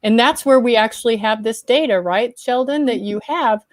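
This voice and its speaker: A woman's voice: a little high, moderate pace, no room sound, clean studio sound. A woman hosting a talk show, casual and friendly, with frequent fillers over a video call. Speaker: host.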